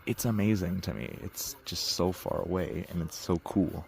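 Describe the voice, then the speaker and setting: A man's voice: sits low, moderate pace, darkish tone, poor audio. A teenage man giving casual spoken commentary as a radio hobbyist, recorded outdoors. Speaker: shortwave radio hobbyist narrating a bandscan. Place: outdoors at night. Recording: clear background noise.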